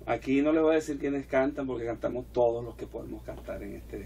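A man's voice: medium pitch, a little fast, vocal fry, warm tone, slightly rough, dull audio. A middle-aged man with a Venezuelan accent, proudly introducing an album and inviting listeners in. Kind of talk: promotional introduction. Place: indoors, small room. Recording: distant mic with faint noise.

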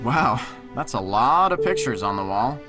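A man's voice: medium pitch, very animated, normal speed, over loud music, lightly acted. A man playing an easygoing, concerned teenage boy with a casual, tentative way of talking in an audio drama. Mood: curious, encouraging. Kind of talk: naturalistic audio drama acting.

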